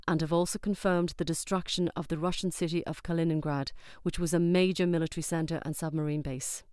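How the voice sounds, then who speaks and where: A woman's voice: lowish voice, a little mellow, clean tone, no room sound, studio quality, close to an everyday voice. A woman playing a serious news anchor, reading breaking news in a formal, composed way for a drama.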